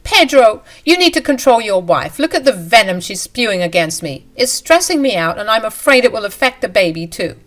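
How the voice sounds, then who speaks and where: A manipulative young mistress who whines and accuses, as a woman narrator puts her on. She pitches her voice up and pushes it loud and sharp, and she keeps playing the victim. It belongs to a spoken drama story. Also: sing-song, a little fast, hardly any pauses. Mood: accusing. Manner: shrill, accusing and self-pitying.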